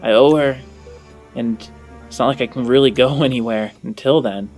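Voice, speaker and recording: A man playing an earnest, conflicted fugitive in a tabletop game, speaking in a halting, pleading way. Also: medium pitch, steady tone, darkish tone, faint music behind, close to an everyday voice. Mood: torn, confiding. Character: earnest, conflicted male fugitive longing for his sister.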